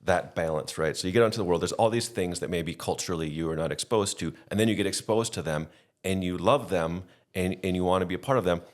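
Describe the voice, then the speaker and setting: A man's voice: deep voice, fast talker. A middle-aged man from the American Midwest, telling stories in a rambling, self-deprecating way during a casual podcast chat. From Midwestern United States. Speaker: podcast guest.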